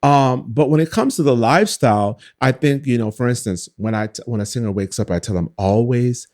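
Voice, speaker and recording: A man with a naturally high speaking voice talks as a vocal coach being interviewed. He explains his method earnestly and with emphasis, step by step, at a medium pitch. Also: lively intonation, a little fast, a little mellow, no echo, studio quality. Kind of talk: casual interview conversation.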